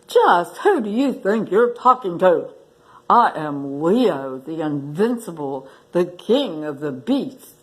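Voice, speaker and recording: A proud storybook lion king, voiced by a woman, full of self-importance. He speaks with grand drama and swooping pitch, unhurried and sorry for himself. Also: big pitch swings, poor audio. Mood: indignant. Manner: pompous, self-pitying, dramatic.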